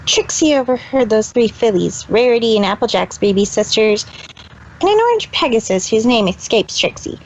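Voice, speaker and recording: A woman's voice: fairly high pitch, very animated, moderate pace, lo-fi sound, a little hiss or hum. A woman voicing a proud, easily flustered cartoon pony magician who talks about herself in the third person, in a fan fiction reading. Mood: guilty, resolute. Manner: self-important third-person speech.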